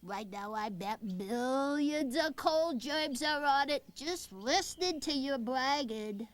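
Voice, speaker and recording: A woman voicing a gentle female moose with a cold in a cartoon. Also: high-pitched, animated, slow. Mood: gentle, sickly. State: stuffy from a cold. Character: young female moose friend, sick with a cold.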